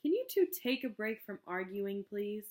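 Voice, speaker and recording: A woman voicing a kind storybook mother, patient, encouraging and gently firm with her children. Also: medium pitch, big pitch swings, moderate pace, lightly acted.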